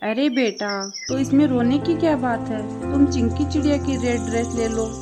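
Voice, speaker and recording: Woman as a high-voiced bossy bird stepmother. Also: moderate pace, over loud music, close to an everyday voice. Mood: soothing. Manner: bossy, curt. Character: domineering, unkind bird stepmother, adult female. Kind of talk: Hindi cartoon story dialogue.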